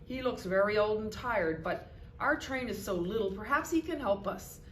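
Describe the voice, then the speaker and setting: A woman's voice: medium pitch, expressive, normal speed, a bit bright, pitched above the performer's own voice, natural voice. A woman voicing a funny, hopeful little toy clown from a storybook, calling out with encouragement. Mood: encouraging. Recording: roomy echo with background noise.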